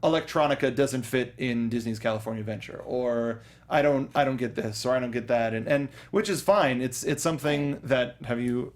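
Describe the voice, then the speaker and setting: A young man co-hosting a podcast in casual chat, enthusiastic and often stumbling over restarts as he talks about something he loves. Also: mid-range voice. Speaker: podcast co-host.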